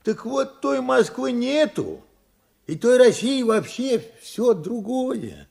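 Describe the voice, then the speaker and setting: An actor playing an older working-class father, earnest and proud, in Soviet radio drama style, with a husky, creaky, emphatic voice. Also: very high voice, lively intonation, slow, a little mellow, heavily acted. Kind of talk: Soviet radio play acting.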